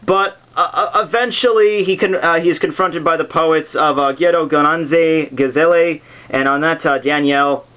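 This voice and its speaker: A man's voice: a little high, fairly even intonation, moderate pace, hardly any pauses, fairly bright tone, rough recording. A man giving a casual, unscripted explanation as host, rambling and dropping jokey asides. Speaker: host of a literature summary series. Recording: music playing under the voice. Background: background soundtrack music.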